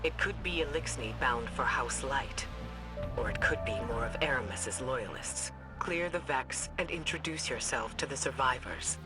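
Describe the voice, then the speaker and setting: A man acts a stern military handler guiding a soldier through a video game mission. His mid-pitched voice is grave and watchful, giving clipped directions with music playing behind. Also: lively intonation, moderate pace, natural voice. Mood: measured, watchful.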